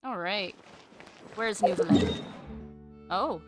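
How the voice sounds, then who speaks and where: A woman with a mid-pitched voice streams a video game while loud music plays behind her. She comments casually as things unfold. Her asides are playful, as if chatting with the characters. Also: slow.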